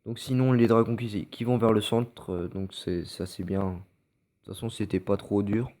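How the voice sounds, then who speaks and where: A man's voice: lowish voice, fairly even intonation, moderate pace, mellow. A man with a French accent, stuffy from a cold, giving casual, hesitant commentary over recorded game matches with many fillers and restarts. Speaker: gameplay commentator.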